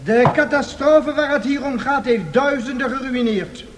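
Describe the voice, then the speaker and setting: Actress as indignant parliamentarian, very high voice. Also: female voice, moderate pace, lightly acted. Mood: earnest indignation. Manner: formal, oratorical. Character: earnest, indignant member of parliament. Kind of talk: parliamentary speech in 1970s radio drama.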